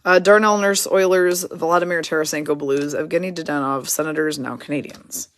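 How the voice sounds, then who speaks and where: A hoarse woman hosting a card break, casually rattling off player names and teams as she opens packs. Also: low-pitched, fairly quick, no echo. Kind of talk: casual card-opening commentary.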